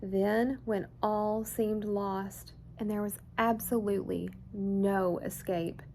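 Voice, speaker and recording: A woman reading a children's picture book aloud in an expressive, deliberate storyteller's way. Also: mid-range voice, slight background noise. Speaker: children's story time host.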